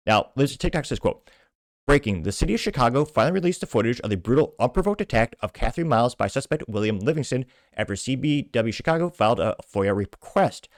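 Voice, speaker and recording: A man's voice: mid-range voice, dry recording. An American man hosting a podcast, reading posts aloud and giving informal, rambling political commentary. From Midwestern United States. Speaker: podcast host.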